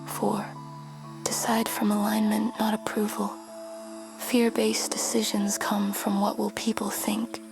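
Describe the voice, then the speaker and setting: Whispered woman's voice, meditation guide, flat and gravelly, music behind. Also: medium pitch. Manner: slow, soothing, flat delivery.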